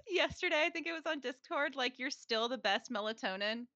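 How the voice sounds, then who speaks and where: A woman co-hosting a casual podcast chat, talking fast with lots of fillers and frequent laughs. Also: high voice, animated, sharp tone, no room sound, highs cut off. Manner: chatty, laughs often, many fillers. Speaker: podcast co-host, streamer.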